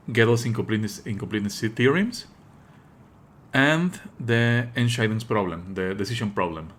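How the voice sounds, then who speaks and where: A man with a fairly low but bright, forceful voice hosts a live stream. He explains things in a pedantic, opinionated way, halting between phrases and leaning hard on key words. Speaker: streamer and host. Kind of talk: live game stream commentary, explanatory talk.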